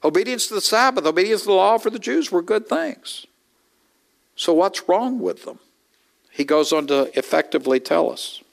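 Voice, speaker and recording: A middle-aged man preaching a conversational sermon, with wry asides and sudden rises in pitch. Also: lively intonation, moderate pace, creaky. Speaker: pastor. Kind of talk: expository sermon.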